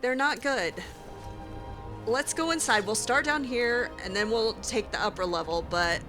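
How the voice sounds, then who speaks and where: A woman's voice: fairly high pitch, unhurried, natural voice. A woman playing a sarcastic, determined female adventurer in a conversational, opinionated way, as an in-character game roleplay. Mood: determined, casual. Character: sarcastic, clever, determined female adventurer who hates cruelty. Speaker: let's play host, role-playing in character. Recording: game music behind the voice.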